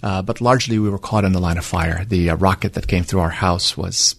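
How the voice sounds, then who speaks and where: Gravelly-voiced Lebanese-born man, low pitch. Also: a little fast, a bit bright. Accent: light Lebanese Arabic. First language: Arabic. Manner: earnest, thoughtful, with many fillers and restarts. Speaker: radio guest. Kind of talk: conversational interview.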